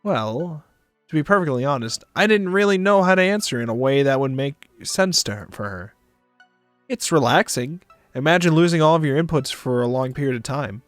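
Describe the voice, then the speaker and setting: A man's voice: on the high side, lively intonation, moderate pace, dry recording. A man narrating a visual novel aloud for a stream, with casual asides. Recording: music behind the voice. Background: music.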